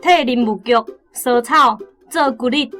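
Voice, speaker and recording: A woman's voice: a little high, a little slow, a bit bright, soft background music. A young woman with a Taiwanese accent, a student contestant working out answers aloud in casual talk. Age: young adult.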